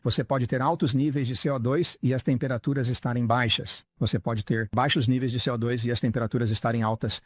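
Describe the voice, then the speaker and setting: A man's voice: medium pitch, fairly even intonation, brisk, few pauses, dry recording. A man speaking in an earnest, explanatory way as the dubbed voice of a talk, posing rhetorical questions and answering them himself. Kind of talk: Portuguese voice-over of an interview talk.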